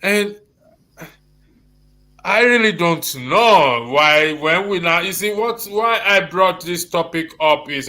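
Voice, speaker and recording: Bright-voiced Nigerian man hosting a discussion. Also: medium pitch, gravelly. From Lagos, Nigeria. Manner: halting, repeats phrases for emphasis. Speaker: host of a diaspora discussion show. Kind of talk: moderating a panel discussion.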